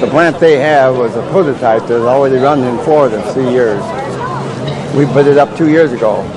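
An older man who builds wind turbines, calmly explaining how they work to people at an outdoor fair, with plenty of uh's. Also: mid-range voice, brisk, crowd noise. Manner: plain, matter-of-fact explaining with frequent uh. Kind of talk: explaining to fairgoers. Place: outdoor fairground. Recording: noisy outdoor camcorder audio.

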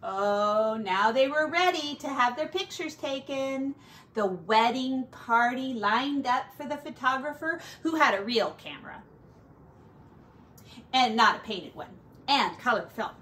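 A middle-aged woman reading a picture book aloud to children like a teacher, with deliberate, drawn-out words and little asides. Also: high-pitched, expressive, a bit bright, slight background noise. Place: small furnished room at home.

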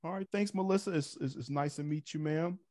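A man's voice: on the high side, brisk, warm tone. A man in his thirties hosting an online meetup from a room at home, talking in a casual, easygoing way with restarts and uhs. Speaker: host.

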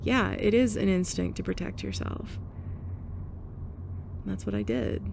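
Young woman, gravelly voice, unhurried delivery, sarcastic, awkward, faint room hiss. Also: lowish voice, huge pitch swings. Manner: abrasive, sarcastic, awkward, laughing softly. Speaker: ASMR roleplay performer. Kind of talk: scripted roleplay monologue to a listener.

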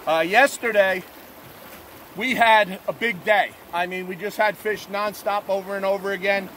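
High-voiced man reporting from a river boat. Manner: relaxed, plainspoken, filler-heavy. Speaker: fishing guide. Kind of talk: on-the-water fishing report. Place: outdoors on a drift boat on a river. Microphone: camera mounted on the boat at arm's length. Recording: faint water and outdoor noise. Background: rushing river water.

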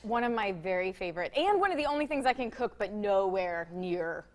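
A woman's voice: medium pitch, animated, a little fast. An American woman in her thirties co-hosting a talk show with casual banter, chattily hopping between half-finished thoughts. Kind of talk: casual co-host banter and topic reading. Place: TV studio.

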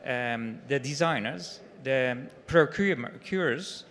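Halting dutch man in a reverberant hall. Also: medium pitch, expressive, a bit bright. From Netherlands. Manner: halting, many fillers. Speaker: panelist. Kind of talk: panel discussion.

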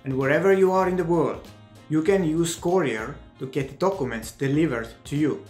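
A young man from Estonia with an Estonian accent, giving earnest, deliberate advice in a scripted vlog, talking with his hands. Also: on the high side, darkish tone, roomy sound, soft background music. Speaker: vlogger.

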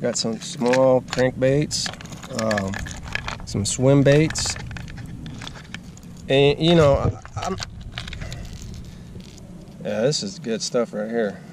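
A man's voice: medium pitch, drawn-out words, long pauses. A man in his forties unboxing fishing gear outdoors beside a boat, talking in a laid-back, enthusiastic way with plenty of fillers. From Arizona, USA. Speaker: fishing vlogger. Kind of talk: casual unboxing vlog. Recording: outdoor camera audio with background noise.

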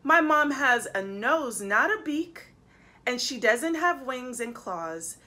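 A woman's voice: high-pitched, animated, takes it slowly, pushed and bright, exaggerated voice. A woman voicing a small, upset monkey child from a children's storybook, plaintive and insistent as it protests. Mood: protesting, insistent. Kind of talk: children's storybook read-aloud.

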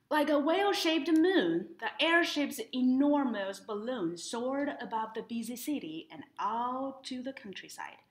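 Young female storytime reader, slow and expressive, very animated melody, mid-range pitch, explains as she goes. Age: young adult. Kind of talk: children's picture book read-aloud with explanatory asides. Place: indoors in front of a painted woodland mural.

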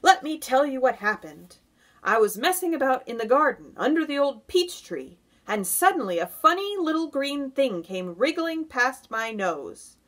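A woman's voice: sits high, sing-song, normal speed, fairly bright tone, pitched above the performer's own voice, heavily acted. A woman voicing a boastful, gleeful male centipede in a children's storybook, crowing and teasing as he talks. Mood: excited.

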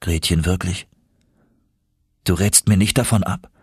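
A man's voice: low-pitched, steady tone, unhurried, darkish tone, slightly rough, dry recording, studio quality, full character voice. A man voicing an anxious, doubtful young nephew, hesitant and protesting, in an audiobook. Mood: faint, stunned.

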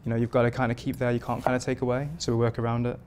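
A man in his thirties, a performance chef from England, answering interview questions in a relaxed, rambling way with many fillers, in a home kitchen. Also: mid-range voice, racing, background noise. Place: modern home kitchen indoors.

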